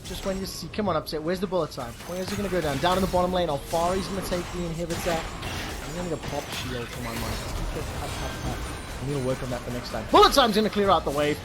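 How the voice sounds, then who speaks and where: A man calling live esports play-by-play with quick, excited bursts. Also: fairly high pitch. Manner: excitable, fast bursts, exclamations. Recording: commentary over loud game music.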